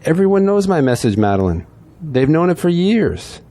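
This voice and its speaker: Guy acting a weary veteran politician, very animated pitch, soft dark tone, audio drama. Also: moderate pace, no room sound. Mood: frustrated. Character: beleaguered male veteran politician, pragmatic and weary.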